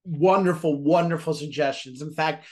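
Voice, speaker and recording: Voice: mid-range voice, normal speed, a little mellow. An upbeat podcast host, gushing over the guest's answers while asking questions. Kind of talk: podcast interview.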